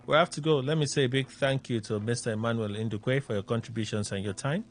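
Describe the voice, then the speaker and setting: A man's voice: medium pitch, fast talker, faint music behind. A man with a Nigerian accent, a radio host wrapping up a live talk segment.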